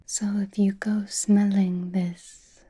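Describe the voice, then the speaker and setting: Slow, whispering, flirtatious vampire played by a woman. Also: medium pitch, little pitch movement, warm tone. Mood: teasing, coy. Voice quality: breathy whisper. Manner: coy, theatrical, old-fashioned endearments, lingering phrasing. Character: flirtatious, doting, teasing female vampire companion. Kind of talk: whispered ASMR roleplay.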